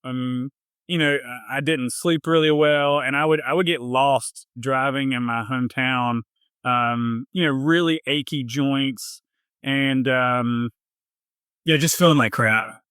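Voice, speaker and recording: A man with a Southern US accent telling his personal story in a casual interview, informal and a little halting in his phrasing. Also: medium pitch, fairly even intonation, a bit bright, clean tone, dry recording, clean studio sound. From southern United States. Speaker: health coach, podcast guest.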